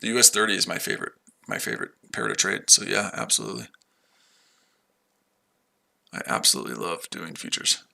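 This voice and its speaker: A man's voice: deep voice, very animated, fairly quick, gravelly, pauses often, bright, forceful tone. An American man casually chatting on a livestream while trading, rambling and talking to his viewers. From United States. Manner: rambling, repeats himself, chats with viewers. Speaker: livestream trader.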